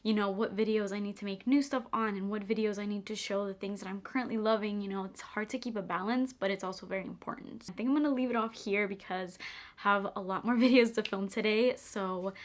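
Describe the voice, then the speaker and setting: A woman's voice: medium pitch, fairly even intonation, quick pace. A young woman whose first language is Albanian, chatting casually as she answers viewer questions in a get-ready-with-me video, filling her talk with lots of "like.". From Albanian heritage. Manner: chatty, frequent "like" fillers. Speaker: beauty and perfume YouTuber. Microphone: camera mic.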